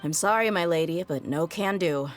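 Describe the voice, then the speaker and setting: A woman's voice: fairly low pitch, normal speed, soft background music, close to an everyday voice. A woman playing a tough, easygoing desert mercenary in an anime game, speaking in a casual, frank, reassuring way. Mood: apologetic.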